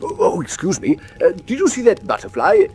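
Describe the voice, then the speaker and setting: An actor playing an eager, talkative naturalist in a radio drama, with a polite, hesitant, wordy manner. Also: male voice, high-pitched, wildly swooping pitch, fairly quick, a little mellow, faint music behind, full character voice. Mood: animated. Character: eager, talkative naturalist man living on the moor. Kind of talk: classic radio drama acting.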